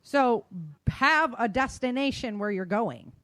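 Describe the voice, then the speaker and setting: A woman's voice: fairly high pitch, lively intonation, measured pace, a bit bright, no echo, studio quality. A woman hosting a casual talk podcast, explaining advice in an emphatic, colloquial way and often checking in with "right?". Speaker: podcast host.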